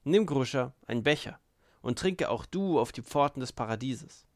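A man voicing a mocking, spiteful, boastful young man in an audiobook, loud and needling. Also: mid-range voice, expressive, moderate pace, no room sound, clean studio sound, lightly acted. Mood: goading.

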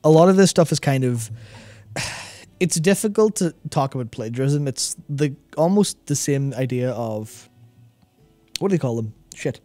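A man's voice: medium pitch, expressive, a little slow, dark tone, no echo, faint music behind. A man in his thirties chatting casually and analytically as a co-host, explaining things in a rambling, self-correcting way into a close studio microphone. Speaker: co-host of a gaming discussion show. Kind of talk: casual analytical chat about game lore. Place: small indoor studio with acoustic foam. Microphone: close broadcast microphone on boom arm.

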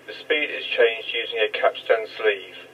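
A man reads a scripted test announcement in a measured, deliberate way, as a demonstrator of vintage equipment. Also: lowish voice, highs cut off, slight background noise.

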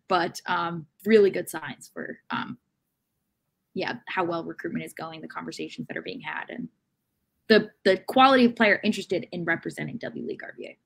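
A woman's voice: lowish voice, a bit bright. Woman talking soccer, thinking aloud. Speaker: sports podcast co-host. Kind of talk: unscripted soccer discussion. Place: room at home. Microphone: video call.

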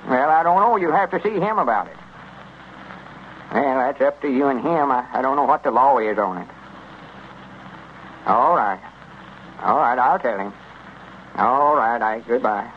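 An actor playing an exasperated, folksy country storekeeper with a rural Southern accent in old-time radio comedy. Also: male voice, mid-range voice, lively intonation, a little slow, pauses often, close to an everyday voice. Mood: defensive. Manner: folksy, grumbling. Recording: muffled, noisy 1930s-40s radio recording.

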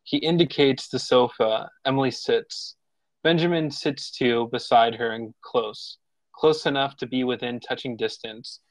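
A man reading screenplay stage directions as narrator, informal and slightly halting. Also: mid-range voice, fairly even intonation, dry recording, low-quality recording. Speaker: narrator of a screenplay table read.

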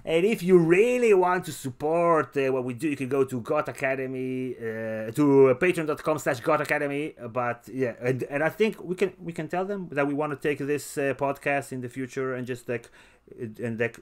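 A man's voice: mid-range voice, normal speed, clear, resonant tone. A man hosting a podcast in casual movie-chat style, enthusiastic and playful, often repeating his phrases. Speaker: podcast host.

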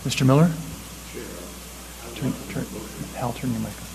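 A man's voice: medium pitch, gravelly, noisy background. Man chairing a council meeting. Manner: procedural and brisk. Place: city council chamber.

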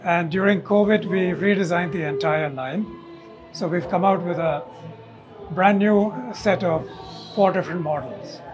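Man, 60s, high voice, halting technical explainer in interview, music behind. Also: rough recording. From India. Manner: halting, explanatory, tags statements with "okay". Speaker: loudspeaker company owner and designer, interviewee. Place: hotel corridor at an audio show. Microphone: lapel mic.

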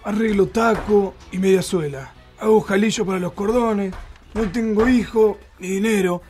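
A man's voice: sits high, normal speed, a little mellow, soft background music, natural voice. A man playing a poor, lonely, very old cobbler who laments his lot in a comic radio play. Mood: mournful.

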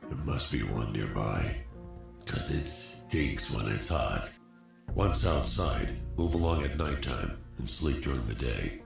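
An actor playing a stern, weary old army colonel in a video game, gravelly, with clipped fatherly military speech. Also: a bass voice, animated, takes it slowly, very echoey, over music, full character voice. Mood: weary, advising. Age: older.

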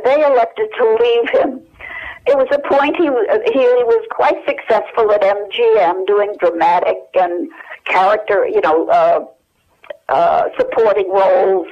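Older woman reminiscing over the telephone. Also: mid-range voice. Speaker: interview guest. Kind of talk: anecdotal reminiscence in an interview. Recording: dull telephone line.

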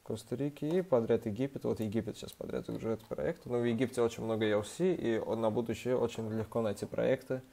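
A young man talks through a screen-recorded tutorial in a relaxed, chatty way, full of fillers and restarts. His voice is mellow and mid-pitched, and he sounds a little under the weather. He speaks into a computer mic. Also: fast, vocal fry, few pauses. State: slightly ill, voice a bit off. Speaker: student organization member giving a tutorial.

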